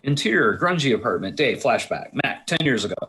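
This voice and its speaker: Man, husky, mid-pitched, scripted narration, stage directions, occasional stumbles and self-corrections. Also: sing-song, a little fast, pushed and bright. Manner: stumbles over and corrects words. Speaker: stage directions reader at a table read. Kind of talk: scripted narration, read aloud.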